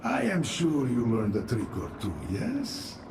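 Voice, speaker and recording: An actor playing a sly infested undead soldier in a video game, with theatrical, drawn-out delivery. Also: male voice, medium pitch, huge pitch swings, noisy background. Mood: sly teasing. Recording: distant, echoey, music over voice.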